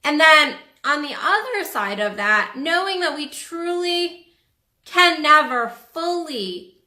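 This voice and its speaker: A woman's voice: high-pitched, animated, takes it slowly, pushed and bright. A woman life coach talking in a casual livestream monologue, rambling and earnest, with frequent restarts and the odd swear word. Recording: roomy echo.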